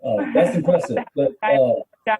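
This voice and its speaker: A man's voice: medium pitch, sing-song, a little slow, mellow, slight background noise. A man chairing a public meeting, putting formal questions in a procedural, courteous manner. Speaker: board chair running a hearing. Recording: dull echoey room, distant mic.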